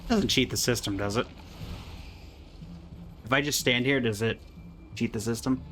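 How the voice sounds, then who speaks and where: A man's voice: mid-range voice, normal speed, a bit bright, soft background music. A man giving casual live commentary while playing a video game, with dry, muttered asides to himself and the game. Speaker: gaming streamer reacting to gameplay.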